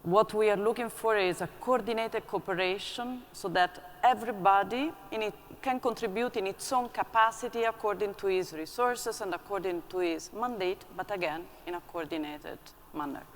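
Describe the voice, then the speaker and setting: A panelist at a conference giving measured remarks and listing points. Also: mid-range voice. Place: conference hall. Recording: long hall reverb, faint room noise.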